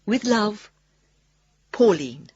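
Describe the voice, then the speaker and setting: Breathy, gently hesitant letter-writer in love. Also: female voice, medium pitch, lively intonation, extremely slow, no echo, lo-fi sound, exaggerated voice. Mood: tender, hesitant. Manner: tentative, gentle, reflective. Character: thoughtful, cautiously excited woman writing to her suitor. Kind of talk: radio drama, letter read aloud.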